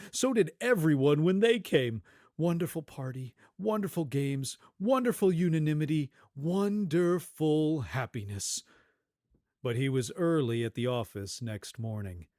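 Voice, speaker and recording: A man narrating a story in an expressive, dramatic scripted style, relishing repetition and emphasis. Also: medium pitch, dry recording, clean studio sound.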